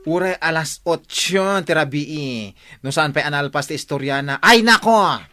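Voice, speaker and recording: A woman's voice: low voice, expressive, normal speed, sharp tone, dry recording. A woman playing a nosy, judgmental village gossip in an audio drama, full of scandalised exclamations. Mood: gossiping, disapproving. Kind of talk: Ilocano audio drama dialogue.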